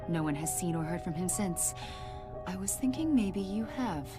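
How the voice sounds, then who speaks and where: A woman acting as a probing government agent in a cinematic game scene. Her voice sits on the low side, and she needles with sardonic, insinuating questions. Music plays clearly behind her. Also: animated, normal speed, natural voice. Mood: probing, cool. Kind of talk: cinematic video game dialogue.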